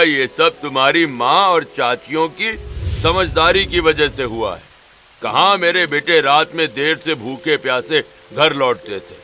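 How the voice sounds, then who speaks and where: Man as a bright-voiced grateful village farmer. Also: on the high side. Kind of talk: cartoon story voice acting.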